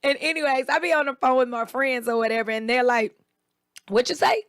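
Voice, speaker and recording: A woman with a raspy, slightly hoarse voice, chatting casually as co-host and acting out the people in her stories. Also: high-pitched, fairly quick, no echo, clean studio sound. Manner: animated storyteller, quotes people in raised voices. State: recovering voice, a bit hoarse. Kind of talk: casual chat.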